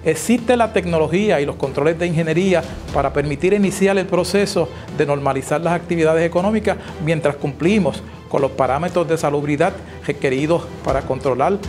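A middle-aged man with a Puerto Rican accent delivering a scripted, formal address in a measured manner. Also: on the high side, a little mellow. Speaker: president of an engineers' association. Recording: music playing behind the voice.

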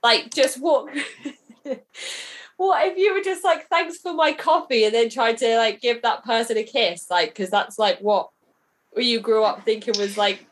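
A woman's voice: fairly high pitch, a little slow. A woman hosting a podcast interview in a casual, chatty and inquisitive way.

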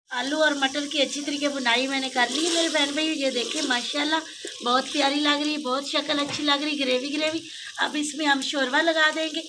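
A Pakistani woman with a high voice talks through a home recipe in a chatty, homely way. She is recorded by phone outdoors at a clay stove at night, and her voice sits a little far from the mic. Loud frying and sizzling run underneath. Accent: Pakistani Urdu. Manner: chatty, homely, pleads with viewers to subscribe. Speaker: cooking vlogger. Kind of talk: casual cooking narration. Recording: distant voice with sizzling noise.

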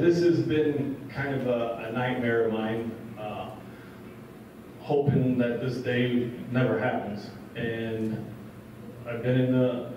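A man's voice: mid-range voice, mellow. A man who is a police chief speaks to a community meeting in a large school room, talking in a casual, plainspoken way. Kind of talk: public meeting talk, telling an anecdote. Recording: echoey, distant, dull, with background noise.

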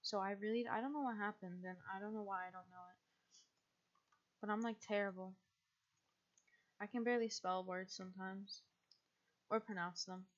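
A young woman from the United States, chatting casually and rambling as she streams a game, with a husky, quiet voice and frequent laughs. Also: medium pitch, steady tone, normal speed, pauses often, highs cut off.

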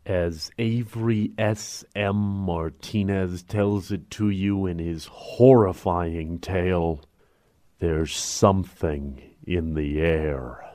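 A man narrating a scripted radio drama introduction as announcer, in a measured, ominous storyteller's manner. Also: low voice, fairly even intonation, warm tone, no echo, clean studio sound.

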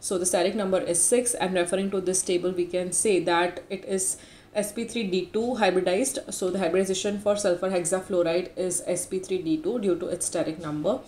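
A woman's voice: lowish voice, fairly even intonation, fairly quick, a little mellow. A woman presenting as a tutor, explaining step by step in a tutorial narration. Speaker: chemistry tutor, channel presenter. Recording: roomy echo with background noise.

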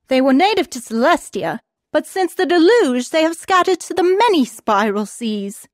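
A woman's voice: high voice, no echo, clean studio sound. A woman voicing a genteel, scholarly, proper animal lady in a video game, speaking politely and formally like a helpful storyteller. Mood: storytelling. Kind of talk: scripted video game character dialogue.

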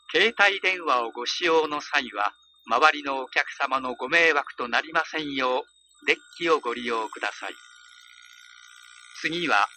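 A male train announcer delivers scripted lines formally and politely. His voice is very bright and forward, at a medium pitch. It comes through onboard speakers, with a light hum and noise behind it. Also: low-quality recording. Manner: formal, polite, precisely enunciated. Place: inside a train, over the PA speakers.

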